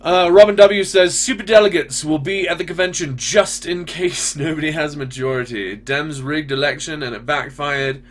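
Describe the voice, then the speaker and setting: A man's voice: fairly high pitch, normal speed, hardly any pauses. A man speaking as host of a casual, opinionated question-and-answer show, in a blunt, informal way. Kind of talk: casual opinionated commentary, answering audience messages.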